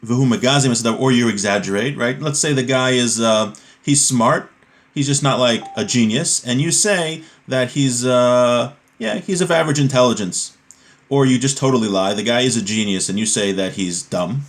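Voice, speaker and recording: A man's voice: mid-range voice, moderate pace. Man teaching a religious text. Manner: explanatory, checks understanding with rhetorical tags like "right?". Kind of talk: informal religious lesson, reading a text aloud then translating and explaining.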